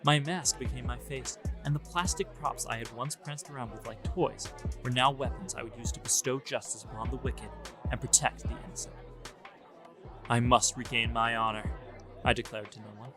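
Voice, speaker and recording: A man's voice: medium pitch, normal speed, close to an everyday voice. A man playing a grandiose, deluded young ninja vigilante, solemn and melodramatic in first-person story narration. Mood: grandiose, declaiming. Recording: music behind the voice.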